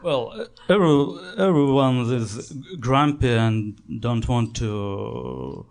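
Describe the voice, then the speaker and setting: A man's voice: mid-range voice, sing-song, darkish tone. A man from Russia with a Russian accent, chatting casually and hesitantly as a guest on a comedy show. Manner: halting, hesitant. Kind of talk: casual chat.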